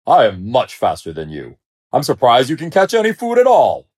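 A proud bully of a storybook lion, acted out by a male narrator. He booms and growls with haughty scorn, his pitch swinging widely and his tone a little husky. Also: wildly swooping pitch, a little slow, full character voice. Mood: scornful boasting. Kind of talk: storybook character voice.

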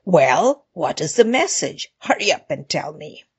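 A woman's voice: low voice, sing-song, a little slow, gravelly, husky, heavily acted. A woman voicing a proud, hot-tempered, greedy lion king with an imperious, demanding manner in a storybook tale. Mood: angry.

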